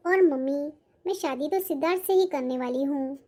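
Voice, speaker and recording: A woman's voice: sits high, moderate pace, mellow, natural voice. A woman voicing a cheerful, modest young bride-to-be in a Hindi cartoon moral story. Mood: breezy.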